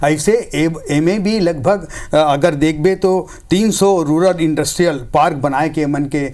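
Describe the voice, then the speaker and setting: A man's voice: a little high, unhurried, darkish tone. A man with a Chhattisgarhi accent arguing and explaining his side as a party spokesman in a political TV debate.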